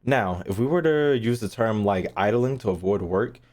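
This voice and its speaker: A man's voice: lowish voice, expressive, normal speed, darkish tone. A young man giving casual livestream game commentary, slangy and profane, with playful rants. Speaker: game streamer.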